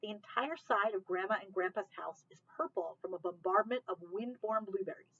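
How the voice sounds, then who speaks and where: A woman giving an expressive read-aloud of a children's novel, narrating the chapter. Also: mid-range voice, moderate pace, breathy edge, phone-quality sound. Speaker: library read-aloud reader.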